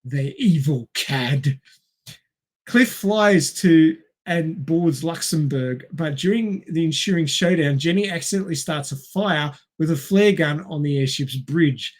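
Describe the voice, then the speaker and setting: Casting: male film podcast co-host, voice a little high, recapping and chatting with a wry, dry wit. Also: unhurried. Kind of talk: reading a film plot summary with wry asides and casual chat.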